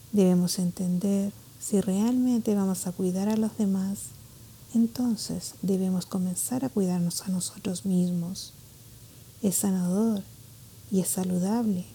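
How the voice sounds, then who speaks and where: Female meditation narrator, soft and very relaxed, gentle measured delivery with breathy phrase ends, mid-range pitch. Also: low-quality recording. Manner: calm, gentle, measured with pauses. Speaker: meditation guide.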